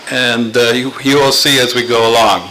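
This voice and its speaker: A man with an American accent, giving a lecture at a conference in short phrases that leave pauses for his interpreter. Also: medium pitch, level tone, sharp tone, a little hiss or hum, poor audio. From born in Germany, raised in the United States. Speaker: speaker at a creation conference. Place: conference hall.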